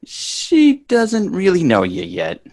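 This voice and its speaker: A man acts out a brash sitcom kid, sarcastic and easily exasperated. His pitch jumps around in animated swings, and the sound is dry and close. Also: takes it slowly, no echo, heavily acted. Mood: bossy, annoyed. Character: bratty, exasperated young boy in a sitcom. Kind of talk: sitcom table read.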